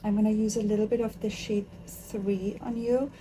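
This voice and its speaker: Makeup artist host, woman from Austria, medium pitch, chatty, faint room hiss. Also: flat delivery, normal speed, mellow, poor audio. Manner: chatty, enthusiastic, talks while working with her hands. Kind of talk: casual chat while doing makeup.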